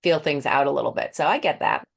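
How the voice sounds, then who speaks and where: A woman's voice: sits low, quick pace, vocal fry, breathy edge. A woman with a Boston accent interviewing a guest, chatty and laughing often, often restarting her sentences. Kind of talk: casual interview with a quiz.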